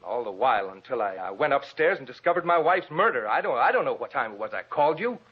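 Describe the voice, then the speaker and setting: An actor playing a defensive, bitter murder suspect in an old radio drama, evasive and melodramatic. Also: male voice, medium pitch, very animated, fast, husky. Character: defensive, bitter husband who murdered his sick wife. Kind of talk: 1940s radio drama acting. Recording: muffled old radio recording.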